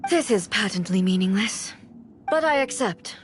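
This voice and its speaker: A woman voicing a severe, godlike warrior ruler in a video game, with formal, commanding, measured speech. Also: mid-range voice, expressive, slight background noise, natural voice. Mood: solemn, severe. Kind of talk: dramatic video game voice acting.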